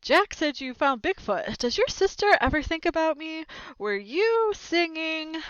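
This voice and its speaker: A woman voicing rowdy, nosy, taunting young boys from a storybook, loud and exclamatory. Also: extremely high pitch, big pitch swings, unhurried, no room sound, highs cut off, pitched above the performer's own voice, exaggerated voice. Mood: excited, nosy. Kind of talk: storybook character voices.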